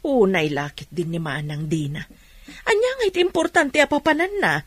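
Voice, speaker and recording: A woman's voice: fairly low pitch, very animated, normal speed, fairly bright tone, slightly rough, no echo, exaggerated voice. An actress with an Ilocano accent playing a patient, kindly mother who earnestly counsels her children in a radio drama. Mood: gentle, reassuring. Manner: earnest, moralizing.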